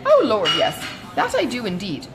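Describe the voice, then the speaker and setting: A woman's voice: a little high, huge pitch swings, moderate pace, over music. A woman voicing a chatty, good-natured older matron in a storybook, gushing and rambling with many exclamations. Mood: emphatic.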